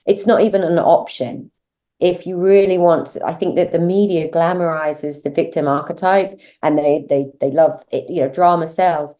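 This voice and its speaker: A woman with a lowish, mellow voice, appearing as a guest on an interview podcast. She speaks earnestly and reflectively, with steady conviction. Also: moderate pace. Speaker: podcast guest, transformation mentor.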